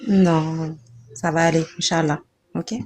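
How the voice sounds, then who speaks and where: A woman calling in to a live stream, asking caring, gentle questions in casual chat. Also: deep voice, flat delivery, a little slow.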